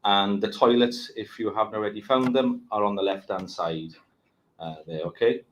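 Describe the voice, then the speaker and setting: Man, low-pitched, ceremony host, upbeat congratulatory opening address. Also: normal speed, highs cut off. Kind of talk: opening speech at a graduation ceremony. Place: event venue.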